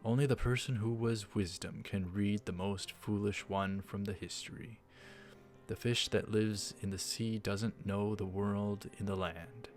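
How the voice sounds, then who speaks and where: A man giving casual, rambling, halting game commentary as the host of a let's play. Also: lowish voice, steady tone, darkish tone. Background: faint game music.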